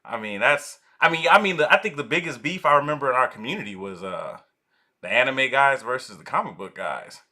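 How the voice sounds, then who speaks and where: A man's voice: mid-range voice, brisk. A young man from Atlanta hosting a casual interview, rambling with lots of fillers. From Atlanta, Georgia. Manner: rambling, many fillers, animated. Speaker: podcast host.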